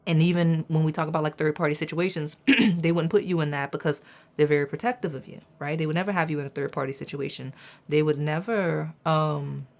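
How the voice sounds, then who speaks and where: A woman doing a pick-a-card tarot reading with a raspy voice, talking straight to her viewers in an emphatic, preachy way and checking in with "okay?" and "right?". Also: low-pitched, steady tone, a little fast. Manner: casual slang, addresses viewers as y'all. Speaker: tarot reader.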